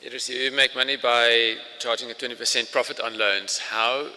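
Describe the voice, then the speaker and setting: A man's voice: mid-range voice, steady tone, moderate pace, pushed and bright. A man on a judging panel, asking a presenter direct, probing questions. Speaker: competition judge. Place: large hall. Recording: long hall reverb with faint ambience.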